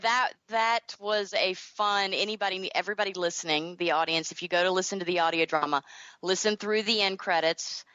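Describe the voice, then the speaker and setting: A woman's voice: fairly low pitch, brisk, bright, forceful tone, no room sound, muffled recording. A middle-aged woman with a Southern American accent, answering interview questions conversationally as a guest author. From North Carolina, United States. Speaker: guest author and audio drama creator.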